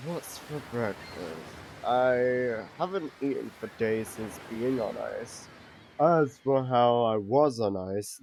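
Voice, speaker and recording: An actor playing a big, gruff arctic beast in an audio drama, blunt but good-hearted. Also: male voice, mid-range voice, darkish tone, slight background noise, close to an everyday voice, low-quality recording. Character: big gruff thawed arctic beast, friendly once calmed.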